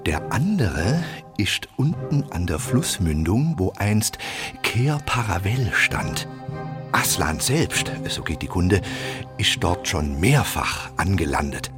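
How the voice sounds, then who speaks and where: A man's voice: low-pitched, sing-song, music in the background, heavily acted. An actor playing a learned old scholar, cautious and hesitant as he advises a prince in a radio play. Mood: solemn, explaining. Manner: hesitant, deliberate, explanatory.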